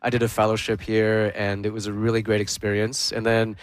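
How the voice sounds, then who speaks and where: A man's voice: lowish voice, monotone, brisk, smooth, no room sound. A young man, a news reporter, talking in a radio interview in an enthusiastic, conversational way.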